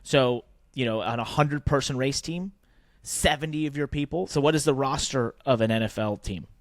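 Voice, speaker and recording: A man's voice: medium pitch, moderate pace, dry recording, studio quality. A man co-hosting a podcast, explaining his points conversationally in a casual sports business discussion. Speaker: podcast co-host.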